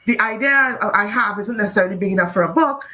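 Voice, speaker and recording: A woman from Trinidad and Tobago, an author talking casually in an interview, lively and laughing often, with many "you know"s. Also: medium pitch, quick pace. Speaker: author guest.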